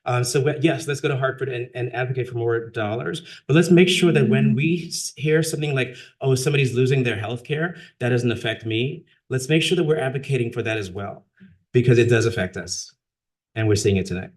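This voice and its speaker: A man's voice: medium pitch, fairly quick. A man, a city council member, giving earnest remarks at a council meeting, with many fillers and restarts.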